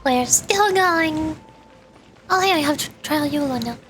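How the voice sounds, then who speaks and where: A woman's voice: sits high, a little slow, over music. A woman livestreamer chatting with viewers as she plays a game, explaining things and repeating herself for emphasis. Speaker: VTuber streamer. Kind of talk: livestream chat while playing a game.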